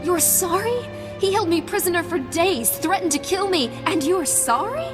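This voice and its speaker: A woman playing a hurt, feisty young alien in a video game, with a sharp, indignant manner. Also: very high-pitched, expressive, normal speed, music in the background. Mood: angry, hurt.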